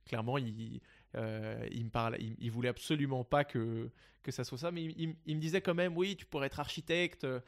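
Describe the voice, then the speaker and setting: Young man, from near Paris, interview guest, gravelly, hesitant, casual. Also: mid-range voice, fairly quick. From Seine-et-Marne, France. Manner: hesitant, many fillers and restarts. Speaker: guest investor.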